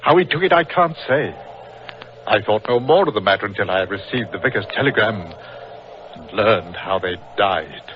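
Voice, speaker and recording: A man's voice: on the low side, lively intonation, moderate pace, natural voice. A man playing a proud, grief-stricken explorer doctor, grave and impassioned, in 1940s radio drama style. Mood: grave confession. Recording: muffled, noisy old-time radio recording.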